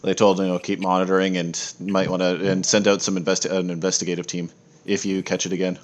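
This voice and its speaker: Lowish voiced guy game mastering, casual, kinda halting as he explains stuff. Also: monotone. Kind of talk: casual improvised tabletop game narration.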